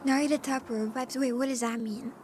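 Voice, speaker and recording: A woman streamer chatting casually with her live chat, with a hoarse voice and a playful cat persona. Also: high-pitched, steady tone, a little fast, a little mellow, a little hiss or hum. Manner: chatty and playful, often clearing her throat. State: hoarse from allergies. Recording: music playing in the background.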